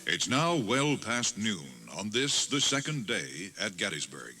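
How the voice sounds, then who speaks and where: A man giving solemn, measured, dramatic scripted narration as a tour guide. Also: lowish voice, very animated, pushed and bright.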